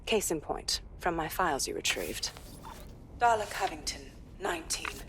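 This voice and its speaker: An actress playing a stern, determined police inspector in a video game, giving a grave, measured briefing over background noise. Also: female voice, sits low, expressive. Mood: grim.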